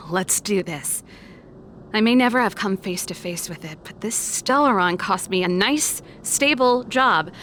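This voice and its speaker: A woman's voice: medium pitch, animated, measured pace, a bit bright, a little hiss or hum. Confident, showy battle-ready woman. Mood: determined, showy. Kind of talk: video game voice acting. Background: game music.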